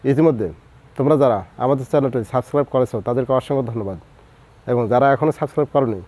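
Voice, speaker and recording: A man with a Bangladeshi accent narrating a scripted grammar lesson as a teacher, methodical, slowing down to spell out English example words. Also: medium pitch, a little mellow.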